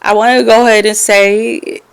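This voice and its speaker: A woman's voice: mid-range voice, flat delivery, normal speed, vocal fry, clean tone. A Mexican-born woman whose first language is Spanish, a shop owner joking and laughing as a podcast guest. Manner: laughing, jokey, emphatic. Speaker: guest, vintage clothing store owner. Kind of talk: casual podcast interview.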